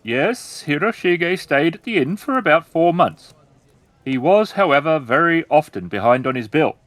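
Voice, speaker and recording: A man playing a polite, cautious innkeeper in a samurai-era tabletop story, with a deferential, measured way of talking. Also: a little high, expressive, fairly bright tone, no room sound, voice pushed up. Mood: apologetic, careful.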